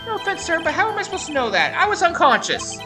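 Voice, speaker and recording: Woman as a shrill, overeager rookie policeman. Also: sing-song, quick pace, music in the background. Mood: indignant, loud. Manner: yelps, ends phrases with a dutiful "sir". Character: jittery, overeager, obedient young male police officer. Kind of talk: exaggerated visual novel character voice.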